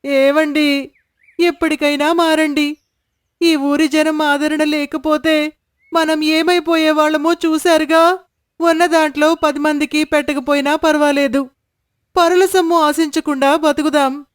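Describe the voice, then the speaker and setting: A woman's voice: high-pitched, flat delivery, measured pace, dry recording, studio quality, pitched above the performer's own voice. A woman voicing a long-suffering, pleading village wife in a storybook tale. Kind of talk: dramatised storybook dialogue.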